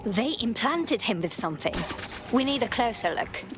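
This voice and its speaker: A woman's voice: a little high, sing-song, moderate pace, background noise. A woman playing a serious, terse resistance operative in a video game. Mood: focused questioning. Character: serious female resistance operative, suspicious and focused. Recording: loud music behind the voice.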